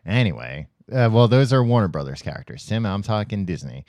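A man with a low voice hosting a casual comedy podcast. His delivery is lively and playful, full of jokes and teasing. The sound is dry and close to the mic. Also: fairly quick, no room sound. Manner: jokey, drops into silly cartoon voices. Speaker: podcast co-host.

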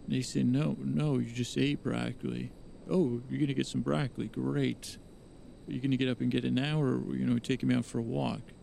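Very gravelly, creaky man rambling along. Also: medium pitch, flat delivery, warm tone, a little hiss or hum. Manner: meandering, halting, repeats and trails off. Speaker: podcast host. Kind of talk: rambling improvised bedtime story monologue.

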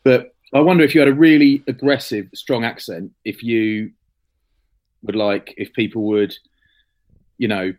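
A man hosts a weekly podcast and opens the show himself. He chats in a casual, teasing way and keeps the banter light. His voice sits at a middle pitch. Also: steady tone, unhurried, pauses often, a little mellow. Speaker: podcast host. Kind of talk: casual chat.

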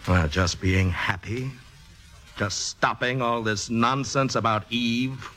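An exasperated man in love with a difficult star in an old radio play. His mid-range voice is bright and emphatic as he pleads, with faint music in the recording. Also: mid-range voice, fairly even intonation, takes it slowly. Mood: weary. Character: exasperated, loving male partner of a stage star. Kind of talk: 1950s radio drama acting. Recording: vintage radio broadcast with music.

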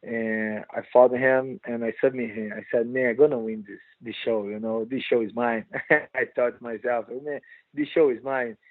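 A Brazilian man with a Portuguese accent, a guest speaking halting non-native English with many restarts and "you know". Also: mid-range voice, steady tone, dry recording, phone-quality sound. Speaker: guest fighter. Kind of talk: casual interview chat.

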